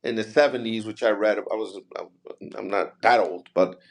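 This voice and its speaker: A man from New City, hosting a conversational podcast interview in a discursive, halting way, often repeating phrases as he builds questions. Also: on the low side. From New York City, United States.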